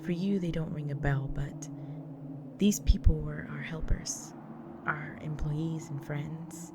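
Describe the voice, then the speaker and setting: A woman playing a gentle, protective vampire wife in an intimate audio roleplay, speaking tenderly in a breathy, near-whispered voice. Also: deep voice, fairly even intonation. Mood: somber, gentle. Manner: tender, slow, soothing. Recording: noisy track with loud ambient sound.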